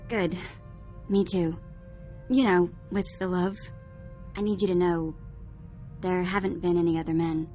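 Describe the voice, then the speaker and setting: A woman's voice: lowish voice, fairly even intonation, a little slow, long pauses, dark tone, soft background music, lightly acted. Woman playing a playful, cheeky young alien woman. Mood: nervous, affectionate. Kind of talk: scripted video game dialogue.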